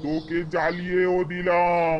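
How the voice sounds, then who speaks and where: A man's voice: sits high, monotone, unhurried. A man voicing a commanding village holy man and exorcist in a horror cartoon, speaking with stern deliberation. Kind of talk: cartoon horror story acting. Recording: dull lo-fi sound, loud background noise.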